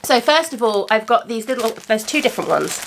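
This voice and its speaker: A woman's voice: on the high side, animated, fairly quick, vocal fry, a little hiss or hum, poor audio. A woman in her thirties hosting a crafting podcast, chatting casually with many ums while a little congested from a cold. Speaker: podcast host. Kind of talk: casual chat.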